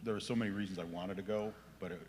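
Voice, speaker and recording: A soft-spoken man speaking on a church panel. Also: sits low, steady tone, rapid-fire, a little hiss or hum. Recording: echoey hall heard from afar.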